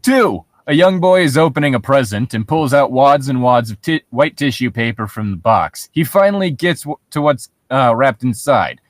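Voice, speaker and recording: A man co-hosting a podcast, chatting casually and reading aloud, swearing freely in a relaxed conversational way. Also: medium pitch, moderate pace, hardly any pauses, dry recording, clean studio sound. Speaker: podcast co-host. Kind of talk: casual banter and creepypasta reading.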